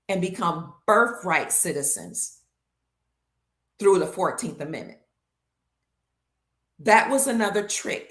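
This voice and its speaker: A woman's voice: fairly low pitch, a bit bright. A woman hosting her own show, delivering an emphatic monologue to viewers, leaning on key words and stacking them in lists. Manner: leans hard on key words, slows for stress. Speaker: show host. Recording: roomy echo.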